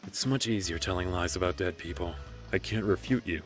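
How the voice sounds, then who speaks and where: An actor voicing a smug, manipulative government official in a visual novel, cool, dismissive and sardonic. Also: male voice, low voice, a little fast, natural voice. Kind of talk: English visual novel voice acting. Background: music and instruments.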